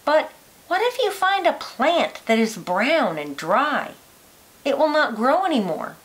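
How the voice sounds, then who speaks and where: A woman with a fairly high voice reads a children's science book aloud. Her delivery is slow and careful, with lots of rise and fall in the melody so young listeners can follow each phrase. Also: sing-song, rough recording.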